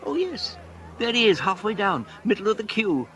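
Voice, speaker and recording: Genteel, dithery, higher-voiced gentleman. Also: big pitch swings, normal speed, some chatter behind. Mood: polite, excitable. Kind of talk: British comic radio acting.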